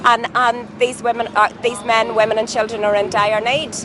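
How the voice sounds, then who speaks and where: A woman's voice: on the high side, flat delivery, moderate pace. Impassioned woman activist. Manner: impassioned, emphatic. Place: outdoors at a city rally. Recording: outdoor traffic noise.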